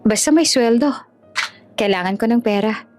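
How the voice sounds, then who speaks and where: A woman's voice: fairly high pitch, normal speed, darkish tone, close to an everyday voice. Lady narrator doing a brave blunt young country girl, emotional, romance audio drama, music behind. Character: feisty, plain-spoken young provincial woman, anxious but brave.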